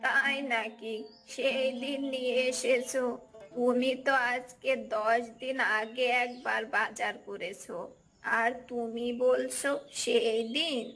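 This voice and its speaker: A woman plays a village wife and mother from a cartoon folk tale. Her voice sits fairly high, and she runs the household in a practical, gently bossy manner. Soft music plays underneath. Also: steady tone, takes it slowly. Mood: homely, instructing.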